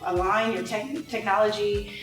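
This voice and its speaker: A woman's voice: medium pitch, normal speed. A woman co-hosting a talk show, talking through parenting ideas in a thoughtful, slightly hesitant way. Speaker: co-host. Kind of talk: casual chat. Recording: echoey room, mic far off, music behind.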